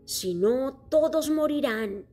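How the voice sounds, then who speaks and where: A woman voicing a wise, worried king from another planet in a children's story, speaking slowly and earnestly. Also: high voice, expressive, dark tone, soft background music, voice pushed up, heavily acted. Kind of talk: storybook character voice.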